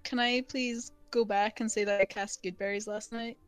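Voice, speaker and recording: A woman's voice: medium pitch, steady tone, moderate pace, clean tone, soft background music. A woman playing a tabletop game who eagerly and playfully asks to do things, heard from a distance. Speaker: player.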